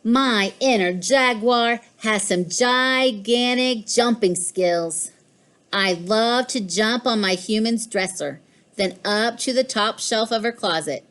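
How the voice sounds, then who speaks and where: A woman's voice: fairly high pitch, takes it slowly, fairly bright tone. A woman voicing a smug, mischievous pet cat in a storybook, with a boastful, emphatic delivery. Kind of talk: storybook read-aloud.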